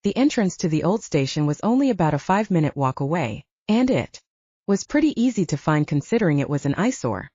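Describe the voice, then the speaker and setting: A woman's voice: deep voice, sing-song, a little fast, warm tone, slightly rough, dry recording, highs cut off. A woman narrating scripted first-person fiction as an audiobook narrator.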